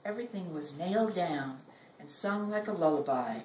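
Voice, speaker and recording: Woman, 60s, live poet, slightly low pitch, measured delivery; distant, noisy room recording. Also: expressive, a little mellow. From Brooklyn, United States. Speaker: poet reading her own work. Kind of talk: live poetry reading, personal and wry. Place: indoor library reading room. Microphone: distant camera or phone in audience. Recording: roomy, distant mic, background noise.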